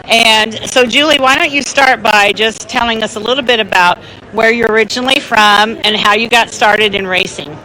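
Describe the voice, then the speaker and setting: A woman's voice: fairly high pitch, normal speed, pushed and bright, rough recording. A woman hosting chatty live interviews in a rambling, encouraging way. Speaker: interview host. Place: booth at a busy indoor trade show. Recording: busy crowd noise behind. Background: crowd chatter.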